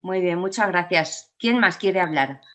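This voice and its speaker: A woman's voice: fairly low pitch, animated, unhurried. A woman with a Castilian Spanish accent leads an informal talk, explaining and taking questions. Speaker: speaker leading the talk.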